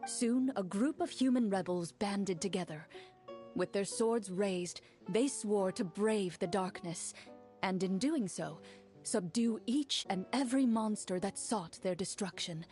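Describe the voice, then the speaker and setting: A woman plays a cool, composed young woman running a game, telling the story in a calm, measured way. Her voice is dark and mellow, at a middle pitch. Faint music plays behind her. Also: natural voice. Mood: narrating. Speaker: game master. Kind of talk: video game voice acting.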